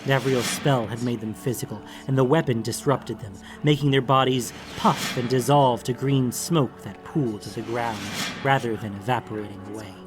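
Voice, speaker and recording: A man's voice: mid-range voice, steady tone, normal speed, few pauses. A man reading a scripted fantasy story as an audiobook narrator, in a dramatic storytelling style. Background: background music.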